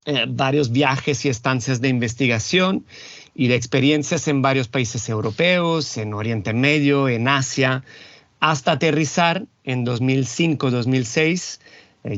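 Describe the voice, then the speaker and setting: Italian-born man, thoughtful and measured. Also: mid-range voice, no room sound, highs cut off. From Italy. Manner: thoughtful, searching for words, measured. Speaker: guest. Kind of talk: reflective interview talk. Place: home, Barcelona.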